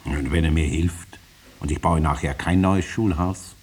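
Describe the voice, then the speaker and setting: A man's voice: a bass voice, a little slow, a little mellow, slightly rough, heavily acted. A man playing a stubborn, money-hungry farmer in a 1950s radio play, plain-spoken, hesitant and defensive. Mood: uneasy. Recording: noisy vintage 1950s radio recording.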